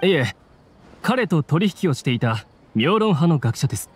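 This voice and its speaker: A man's voice: fairly high pitch, very animated, moderate pace, breathy edge. A man voicing a dutiful, courteous officer in a Japanese video game, speaking formally and earnestly. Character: dutiful, courteous male officer reporting to superior.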